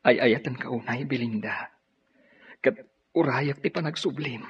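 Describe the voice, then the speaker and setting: A man's voice: medium pitch, measured pace, breathy edge, no echo. An actor playing a devoted middle-aged father in a Filipino radio drama, earnest and sentimental, with a Filipino accent. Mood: tender, longing. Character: devoted middle-aged father, still pining for lost sweetheart. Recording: dull, muffled radio drama audio.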